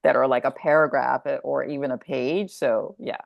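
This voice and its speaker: A woman speaking as a guest author in a casual, reflective interview, haltingly thinking aloud and repeating words. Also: extremely low pitch, fairly even intonation, creaky, dry recording, lo-fi sound.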